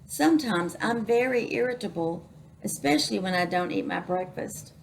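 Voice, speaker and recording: A woman's voice: mid-range voice, animated, moderate pace, gravelly, low-quality recording. Polite, proper, well-spoken male recruit voiced by a woman for a pirate storybook, precise manner, audible noise. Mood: politely earnest. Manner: formal, precise, overly proper wording. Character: prim, polite, well-spoken rascal man applying as pirate. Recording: noticeable background noise.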